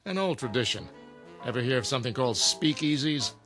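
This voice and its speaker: A man playing a dry, earnest jester who leads an outlaw club, speaking in a measured, courteous way in an audio drama. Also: medium pitch, animated, music in the background, natural voice.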